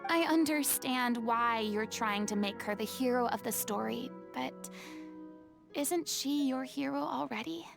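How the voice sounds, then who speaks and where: A woman's voice: high voice, measured pace, gravelly. A woman voicing a poised young woman who firmly scolds and guides a quarreling theater troupe. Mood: stern. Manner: stern, firm, theatrical. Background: game music.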